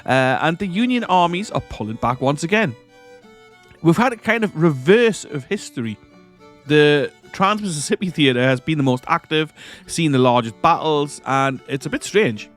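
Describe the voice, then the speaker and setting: A man's voice: on the high side, animated, moderate pace, a bit bright, faint music behind. A man with a stuffy nose from a cold, giving casual commentary over a strategy game. He rambles, corrects himself and chuckles often. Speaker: strategy game let's-play host.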